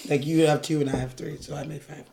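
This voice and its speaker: A woman co-hosting a casual podcast chat over drinks, with a deep voice, talking loosely and jokingly and trailing off mid-thought. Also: flat delivery, quick pace, creaky, warm tone, a little hiss or hum. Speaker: podcast co-host.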